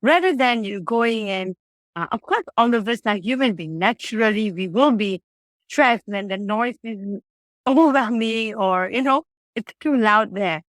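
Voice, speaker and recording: Woman, fairly high and dry. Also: a little slow, dry recording. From Malaysia. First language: Tamil. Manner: earnest, animated, with frequent restarts. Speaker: childcare center director. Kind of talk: conversational interview.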